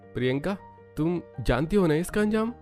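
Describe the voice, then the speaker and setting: A man's voice: fairly high pitch, lively intonation, moderate pace, darkish tone, faint music behind, natural voice. A man acting an earnest, protective young man on the run in a dramatized story, with urgent melodrama.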